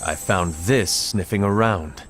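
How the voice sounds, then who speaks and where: A man's voice: low voice, measured pace, fairly bright tone, faint music behind. An actor playing an earnest, serious male apprentice who speaks in a grave, determined manner.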